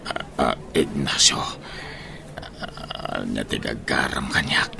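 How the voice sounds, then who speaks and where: Proud wary general, radio drama, gravelly fry, slow delivery, noisy recording. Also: male voice, rumbling low, exaggerated voice. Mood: shaken. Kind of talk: Ilocano radio drama acting.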